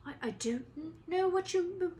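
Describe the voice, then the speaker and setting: Woman acting a stammering, high-pitched timid male professor. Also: measured pace, noisy background. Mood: frightened pleading. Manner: heavy stammer, faltering.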